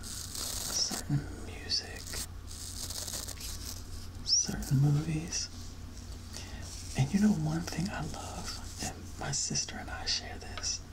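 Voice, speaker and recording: A man giving a soft-spoken, whispered ASMR ramble in a gentle, reflective manner with drawn-out phrasing. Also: a little high, low-quality recording. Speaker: ASMR video creator.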